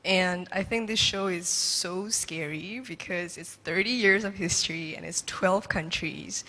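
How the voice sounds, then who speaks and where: A young woman from Indonesia asking a panel a question, fast and chatty with many likes. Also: lowish voice, sharp tone. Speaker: audience member asking a question. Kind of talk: casual question from the floor. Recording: long reverb of a large hall.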